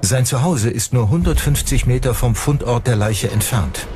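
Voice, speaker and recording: A man's voice: mid-range voice, moderate pace. A man reading scripted true-crime documentary narration as the narrator. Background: music.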